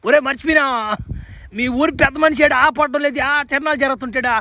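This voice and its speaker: Man voicing a high-pitched, fast-talking cocky cartoon lad. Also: steady tone, pushed and bright, slight background noise, exaggerated voice. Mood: excited, nosy. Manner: cocky, slangy, foul-mouthed. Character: brash, teasing young man visiting a village. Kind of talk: cartoon comedy dialogue.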